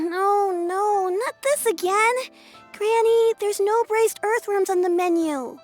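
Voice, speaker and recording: A high, earnest young girl. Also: slow, soft background music. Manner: bright, earnest and upbeat. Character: cheerful young girl caring for her granny. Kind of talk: video game voice acting.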